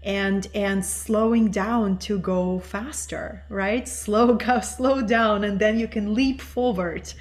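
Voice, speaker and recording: A middle-aged woman from Russia, whose first language is Russian, speaking as an interview guest in an earnest, measured, teacherly way. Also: mid-range voice, fairly even intonation. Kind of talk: reflective interview talk.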